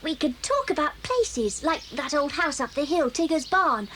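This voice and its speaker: High girlish voice, bossy schoolgirl, boastful, swooping intonation, children's audio drama, noticeable tape noise. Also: very animated, normal speed, fairly bright tone. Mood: excited, scheming. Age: child figure. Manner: boastful, commanding. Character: bossy, scheming young schoolgirl, boastful and sly.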